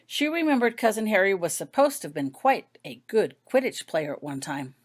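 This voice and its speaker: A woman's voice: medium pitch, very animated, moderate pace. A woman reading her own story aloud as scripted audiobook narration.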